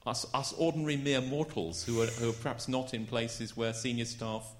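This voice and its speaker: A man's voice: medium pitch, fairly even intonation, normal speed, slight background noise. A man at a church conference voicing a candid, musing question. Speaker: conference delegate.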